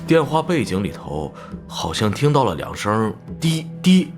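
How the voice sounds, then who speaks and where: A sheepish working-class driver in his forties for an animated crime series. The voice is deep but lively in pitch, with a folksy, deferential, hesitant way of talking to authority. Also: a little slow, over music. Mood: puzzled recollection. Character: sheepish, henpecked 45-year-old working-class male driver. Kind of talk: animated crime drama dialogue.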